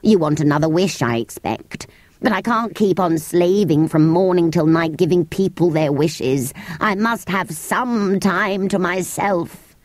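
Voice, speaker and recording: A woman voicing a grumpy, weary furry wish-granting creature in a storybook, low and strained, with a testy, put-upon manner. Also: unhurried, no room sound, natural voice. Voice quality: low, strained, tearful at times. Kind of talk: storybook character voice.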